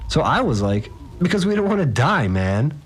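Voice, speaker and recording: A man's voice: on the high side, very animated, moderate pace, husky. An actor playing a slangy, rattled fixer who tells his story informally in an audio drama. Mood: animated recounting. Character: rattled, slangy male fixer recounting events. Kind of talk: first-person audio drama monologue. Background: music.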